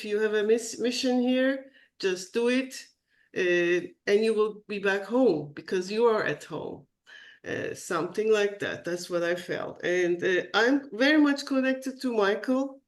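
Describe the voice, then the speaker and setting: Halting woman co-host on a video call. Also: medium pitch. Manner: halting, pausing to search for words. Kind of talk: spiritual podcast conversation. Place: at a home computer.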